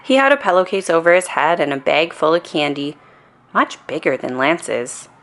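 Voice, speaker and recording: A woman reading a children's story aloud in an expressive, deliberate way with dramatic emphasis. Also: deep voice. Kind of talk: animated bedtime story read-aloud.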